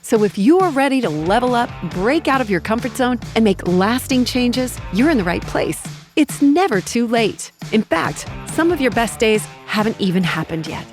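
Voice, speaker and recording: A woman's voice: on the high side, very animated, normal speed, few pauses. A woman host reading an upbeat scripted show introduction with an encouraging delivery. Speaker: podcast host. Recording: voice over clear background music.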